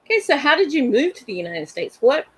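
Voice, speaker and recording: Woman host, casual and friendly interviewer; slightly high voice, dry recording. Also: very animated, fast talker, a bit bright, no room sound. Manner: friendly, asks leading questions.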